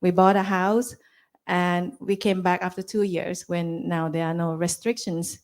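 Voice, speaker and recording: A woman's voice: on the low side, flat delivery, unhurried. A woman in her forties with a Thai accent, talking casually to an audience in simple, loose English. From Thailand. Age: 40s. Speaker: speaker on a panel.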